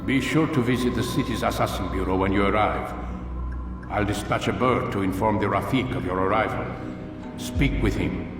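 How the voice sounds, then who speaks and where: A man's voice: fairly low pitch, distant mic, natural voice. Man acting a stern master in an echoing hall. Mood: solemn, commanding. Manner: measured, commanding, paternal and grandiose. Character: stern, solemn aging master of an assassin brotherhood. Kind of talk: dramatic video game cutscene acting. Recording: echoey hall, music behind.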